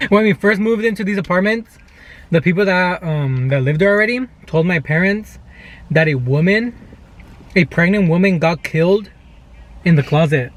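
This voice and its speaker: A man's voice: sits high, fairly bright tone. A young man talking casually to viewers as a vlog co-host, telling stories in a conversational way. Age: young adult. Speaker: vlogger, channel co-host. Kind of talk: casual chatty storytelling vlog.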